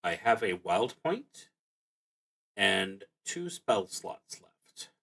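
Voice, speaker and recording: A man narrating a solo tabletop game, reading cards aloud and thinking out loud in a casual, wry way. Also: fairly low pitch, very animated, extremely slow, husky. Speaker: solo roleplaying game streamer.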